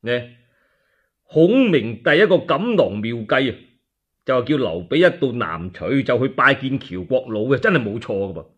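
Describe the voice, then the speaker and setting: A man telling a story for radio in colloquial Cantonese, dramatic and animated, with chatty asides to the listeners. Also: medium pitch, moderate pace, slightly rough. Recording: dull lo-fi radio recording.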